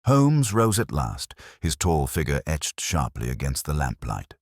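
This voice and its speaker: A man narrating a scripted audiobook story in a measured, literary and dramatic manner. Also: extremely low pitch, wildly swooping pitch, dry recording, clean studio sound.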